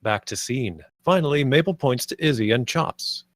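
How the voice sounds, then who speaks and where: A man's voice: mid-range voice, animated, normal speed, dry recording, clean studio sound. A man narrating the stage directions of a script at a table read.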